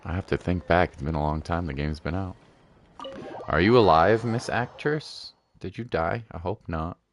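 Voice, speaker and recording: A man streaming a game, giving casual, rambling commentary and thinking out loud in a chilled way. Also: low-pitched, moderate pace, a little hiss or hum. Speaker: gaming streamer. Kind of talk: casual live game commentary, musing aloud. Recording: game music behind the voice.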